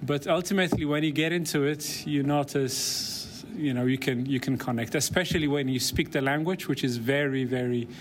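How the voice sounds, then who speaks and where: A man's voice: medium pitch, normal speed, hardly any pauses, a little hiss or hum. A man from Ethiopia, a pastor, answering interview questions thoughtfully, often ending phrases with "right?". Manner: thoughtful, with frequent "right?" tags and restarts.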